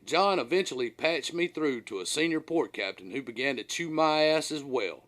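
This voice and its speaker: A man reading his own first-person story aloud as a scripted audiobook, in an animated, dramatic storyteller's manner. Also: a little high, moderate pace.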